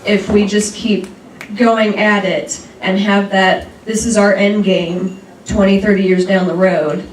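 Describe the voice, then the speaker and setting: A woman's voice: medium pitch, flat delivery, unhurried. A woman speaks up from the audience, candid and a bit self-deprecating. Her voice comes from across a reverberant room and sounds distant. Faint room noise hangs behind her. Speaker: school board candidate. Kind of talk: audience comment at a luncheon. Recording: echoey room from far off.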